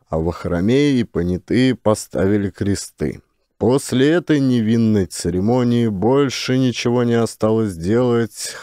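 A man narrating a literary story as an expressive audiobook storyteller. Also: fairly low pitch, no echo, studio quality.

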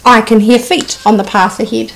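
A woman's voice: a little high, fairly even intonation, normal speed, vocal fry, slight background noise, rough recording. A woman voicing a big, amused, gullible male monster in a children's storybook.

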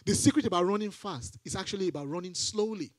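A man's voice: high voice, fast, dry recording. A middle-aged man preaching a sermon on a church stage into a handheld mic, building to emphatic repeated phrases. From Nigeria.

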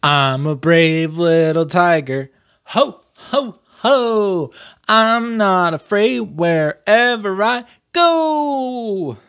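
A brave little tiger cub from a children's storybook, acted out by a man. The voice climbs high in a slow, simple sing-song. It is very close and dry. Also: lively intonation, a bit bright, no room sound, exaggerated voice.

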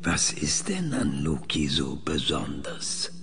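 A man playing a methodical, probing researcher in a science fiction audio drama, questioning in a measured, clinical way. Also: very deep voice, huge pitch swings, a bit bright, soft background music, full character voice.

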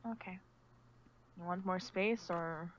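A level-headed female survivor, acted by a woman in a game roleplay. Her voice is slightly low, casual and measured, over faint noise and key clicks. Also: highs cut off. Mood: calm, concerned. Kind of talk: amateur roleplay acting.

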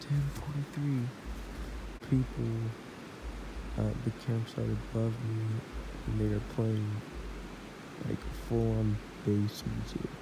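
A young man vlogging a solo camping trip in a laid-back, deadpan way, talking to a handheld action camera from his hammock and his truck. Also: on the low side, slow pace, vocal fry, pauses often. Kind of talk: casual solo camping vlog. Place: outdoors in a hammock, then inside a truck cab. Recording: action camera with outdoor noise.

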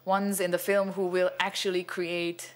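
Older man, halting lecture, fillers, stand mic on a cinema stage. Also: sits high, flat delivery. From Venezuela. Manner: hesitant, many fillers and restarts, gestures broadly. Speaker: cinematographer giving a masterclass. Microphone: stand microphone.

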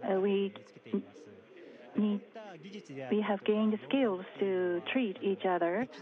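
Slow, hesitant woman interpreter on a phone line. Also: medium pitch, steady tone, crowd noise. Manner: hesitant, many uh fillers and restarts. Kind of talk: simultaneous interpretation. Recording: phone-like narrow-band feed.

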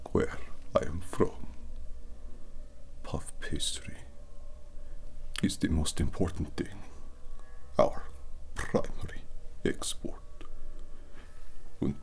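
An actor playing a pompous, melodramatic male vampire prince in a halting, theatrical, whispered ASMR roleplay. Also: very deep voice, creaky, exaggerated voice. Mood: mournful, grandiose.

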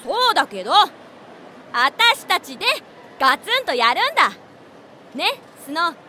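A woman's voice: sits high, very animated, a little slow, bright, forceful tone, slight background noise, full character voice. A woman playing a hot-blooded, confident tomboy schoolgirl witch in an anime-style voice drama, brash and emphatic. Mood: fired up. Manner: brash, boyish wording, emphatic.

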